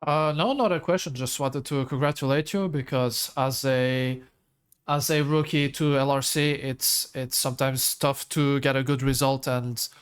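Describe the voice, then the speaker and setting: A man co-hosting an online racing league show, asking drivers post-race questions in a friendly, halting way over a voice call. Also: mid-range voice, clean tone. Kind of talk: joking post-race interview.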